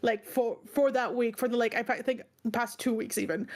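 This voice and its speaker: A woman's voice: on the high side, steady tone, normal speed. A woman in a casual chat, venting in a rambling, emphatic, exasperated way, stressed and upset, swearing as she goes. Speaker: book club stream co-host.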